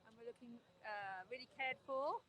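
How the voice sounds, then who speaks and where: A woman's voice: fairly high pitch, normal speed, a bit bright, poor audio. A woman supporting the convoy, speaking enthusiastically outdoors at a protest.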